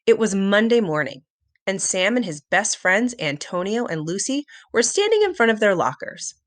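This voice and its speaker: A woman narrating a children's story aloud in an expressive, dramatic storytelling manner. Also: mid-range voice, moderate pace. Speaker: storybook reader.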